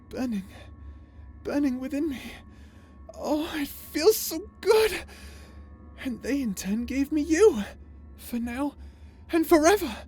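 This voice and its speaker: Tormented lovesick villainess, high pitch, slow halting pace, theatrical, vengeful, sci-fi ASMR roleplay. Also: female voice, darkish tone, a little hiss or hum, heavily acted. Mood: haunted menace. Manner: theatrical, halting, swinging between tender menace and anguish. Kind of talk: ASMR roleplay audio drama.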